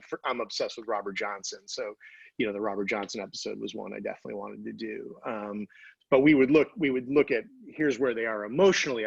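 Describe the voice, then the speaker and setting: A man talking casually as a television writer on a panel, halting and repeating phrases as he thinks, on a dull-sounding microphone. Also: medium pitch, a bit bright, no room sound.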